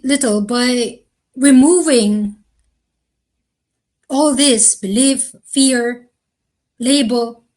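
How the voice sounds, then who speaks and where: A woman answering interview questions in a thoughtful, earnest way, with slow, deliberate phrasing. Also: high voice. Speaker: interview guest.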